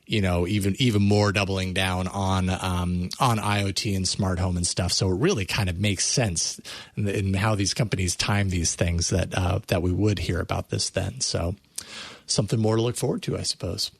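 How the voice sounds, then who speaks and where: A man's voice: sits low, fairly even intonation, moderate pace, creaky, no echo. Casual male tech podcast host, dry sound. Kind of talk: casual tech show hosting.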